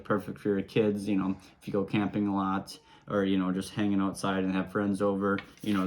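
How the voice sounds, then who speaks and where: A man's voice: fairly low pitch, a little hiss or hum. A man giving a casual product demonstration, explaining simple steps in an easygoing, informal way. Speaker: product reviewer.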